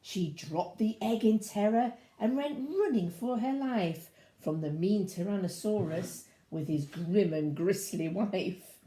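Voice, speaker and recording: A woman's voice: mid-range voice, slow, hardly any pauses, dark tone, roomy sound. A woman reading a children's storybook aloud expressively, in a sing-song way, with asides to young listeners.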